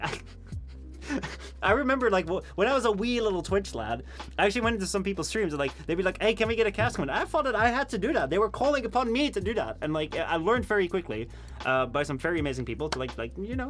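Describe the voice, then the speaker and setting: A man's voice: high-pitched, sing-song, fast talker, a bit bright. Tired Dutch streamer rambling to his chat. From Netherlands. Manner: rambling, many fillers and restarts, laughs often. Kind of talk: casual live-stream chat, reading chat.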